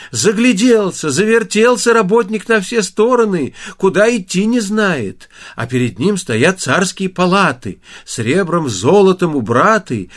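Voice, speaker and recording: A male storyteller reads a folk tale with theatrical flair. His fairly high voice swings widely in pitch, giving the folksy narration a very lively shape. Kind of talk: expressive fairy-tale audiobook narration.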